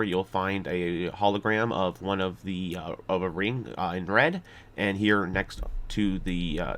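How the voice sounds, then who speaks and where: Low-voiced man giving a game walkthrough. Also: a bit bright. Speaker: video game guide narrator. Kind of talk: casual walkthrough commentary.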